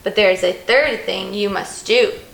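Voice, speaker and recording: A woman voicing a gentle, earnest elderly woman from a children's storybook. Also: mid-range voice, normal speed, gravelly, roomy sound, faint music behind, low-quality recording. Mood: kindly instructing.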